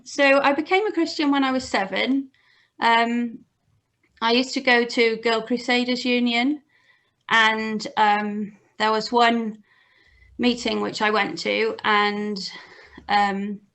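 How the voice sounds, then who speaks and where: A young adult woman, telling personal stories in a gentle, hesitant way on a video call. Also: fairly high pitch. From Cardiff, Wales. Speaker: interview guest. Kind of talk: casual personal storytelling. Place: small home room with bookshelves, on a video call. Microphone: computer mic on video call.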